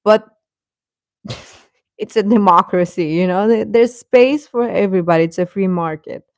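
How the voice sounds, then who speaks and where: A woman hosting a casual fan livestream, rambling and halting as she replies to comments, often with a chuckle in her talk. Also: mid-range voice, a little mellow, no echo, studio quality. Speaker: host of a K-pop fan channel.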